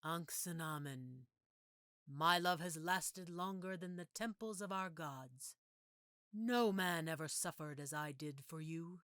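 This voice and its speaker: Woman playing an undying lover, devoted, anguished, solemn, intense, lowered pitch, dry close sound. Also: takes it slowly, fairly bright tone, smooth, no room sound.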